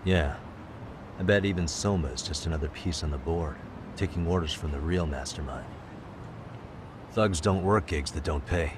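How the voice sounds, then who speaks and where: An actor playing a cool, hard-nosed private detective in a video game dub, measured and matter-of-fact. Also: male voice, deep voice, noisy background, natural voice. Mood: cool, analytical.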